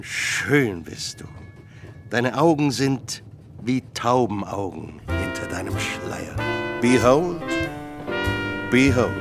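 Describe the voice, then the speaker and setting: A man's voice: lowish voice, heavily acted. A man acting an effusive, doting bridegroom in a radio play, speaking in a florid, declamatory purr. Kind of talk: theatrical radio drama acting. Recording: music behind the voice.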